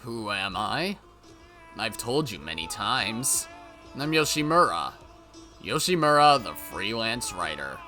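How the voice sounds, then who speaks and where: A man's voice: on the low side, very animated, takes it slowly, soft background music, heavily acted. Man acting out an unhinged, intense male writer. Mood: smug, menacing. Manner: shouting, wailing, taunting, theatrical. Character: unhinged male freelance writer, shifting from panic to cold menace.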